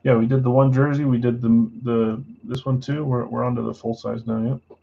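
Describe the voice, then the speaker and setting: Male card break host, laid-back and plain-spoken, mid-pitched, muffled lo-fi stream audio. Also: level tone, normal speed, vocal fry. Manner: self-described boring voice, low-key and matter-of-fact. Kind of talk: casual livestream chat, reading out buyers and spots.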